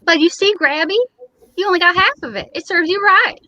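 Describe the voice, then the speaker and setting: A woman's voice: extremely high pitch, fairly quick, bright, forceful tone, no echo, muffled recording. A woman playing a fussy, lovelorn and easily wounded mailman in old time radio comedy style, with dramatic indignation. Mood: huffy, indignant.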